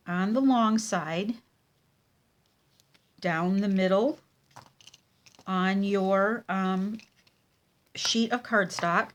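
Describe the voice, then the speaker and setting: A woman with a cold and a nasal, congested voice, teaching a casual card-making tutorial at her craft table, with many ums and pauses. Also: mid-range voice.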